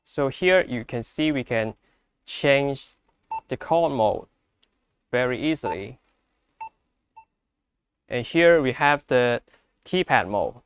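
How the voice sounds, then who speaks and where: A man who speaks English as a second language gives an unscripted product demonstration, explaining step by step in a halting way. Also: mid-range voice, expressive, a little hiss or hum. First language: not English. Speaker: product presenter.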